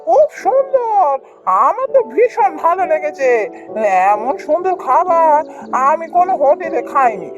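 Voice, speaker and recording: A woman's voice: very high-pitched, animated, a little slow. A woman voicing a chatty, inquisitive male neighbour in a Bengali cartoon, with emphatic delivery. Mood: delighted. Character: nosy, chatty male neighbour, an eager hungry customer. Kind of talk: Bengali cartoon story dialogue. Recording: dull cartoon audio with music.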